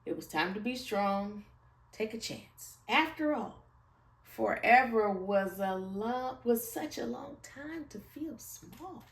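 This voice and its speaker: A woman reads a children's storybook aloud with very lively, swooping intonation. She takes her time over the lines in a slightly high voice. The room is echoey and she sits well back from the mic. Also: slow pace, distant mic. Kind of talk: expressive children's picture-book read-aloud.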